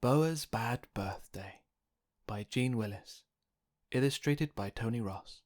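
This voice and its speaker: A storybook narrator giving a gentle, scripted reading for children, with music behind the voice. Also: mid-range voice, slow pace. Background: music bed.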